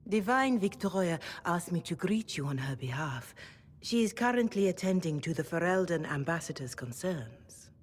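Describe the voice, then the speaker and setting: A woman playing a gracious, devout older cleric in a video game, speaking formally with measured courtesy and gentle frankness. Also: on the low side, expressive, a little hiss or hum, close to an everyday voice. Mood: courteous, measured.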